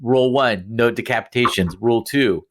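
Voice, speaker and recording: A man talking casually as a podcast co-host, halting and correcting himself as he goes. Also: medium pitch. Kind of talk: casual fan discussion.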